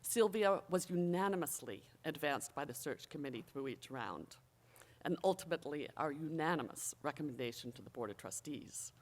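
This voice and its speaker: Measured formal ceremonial speaker. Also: medium pitch, animated. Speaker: college official introducing the new president. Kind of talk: formal prepared ceremonial speech.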